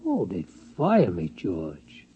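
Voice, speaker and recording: An actor playing a timid, folksy old security guard, rambling and hesitant, in 1950s radio drama style. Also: male voice, low voice, wildly swooping pitch, very slow, dark tone, slight background noise, full character voice. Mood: hesitant, reluctant. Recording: muffled vintage radio recording, faint music.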